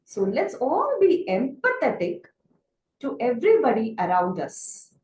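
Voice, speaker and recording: Slow, high-pitched, animated woman storyteller. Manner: expressive, deliberate, asks listeners questions. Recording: echoey room.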